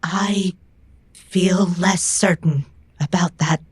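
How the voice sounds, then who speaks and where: A woman playing a female robot in a cyberpunk audio drama, speaking in a halting, literal, stubborn way. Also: lowish voice, fairly even intonation, noisy background. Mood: doubtful. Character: female robot with slow, literal, stubborn manner, discovering feelings.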